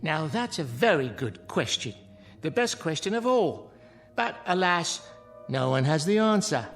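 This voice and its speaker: Theatrical, mocking clown, swooping pitch. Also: male voice, sing-song, a little slow, faint music behind. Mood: wry, resigned. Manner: florid, wordy, grandly mocking delivery. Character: theatrical sardonic male clown, mocking and world-weary. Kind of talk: radio drama acting.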